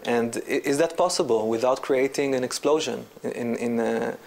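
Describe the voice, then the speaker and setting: A man's voice: mid-range voice, moderate pace, vocal fry, darkish tone. A man speaking thoughtfully in an interview, searching for his words.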